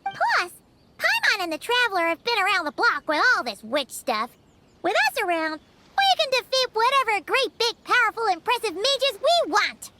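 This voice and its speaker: A woman's voice: very high voice, big pitch swings, moderate pace, very noisy. A woman voicing a small, chirpy, eager fairy companion in a video game, bubbly and boastful. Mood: excited, boastful. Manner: refers to herself in third person, bubbly. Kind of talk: video game character acting. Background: loud background music.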